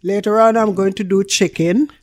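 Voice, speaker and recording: A woman's voice: mid-range voice, steady tone, moderate pace, a little mellow. An older woman from Jamaica with a Jamaican accent, chatting casually as an interview guest. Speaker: interview guest, small food business owner.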